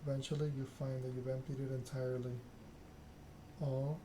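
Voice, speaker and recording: Man reading softly in ASMR style, little inflection. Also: medium pitch, little pitch movement, normal speed, noisy background. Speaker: video game streamer reading a story game aloud. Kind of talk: ASMR narration, reading game text aloud.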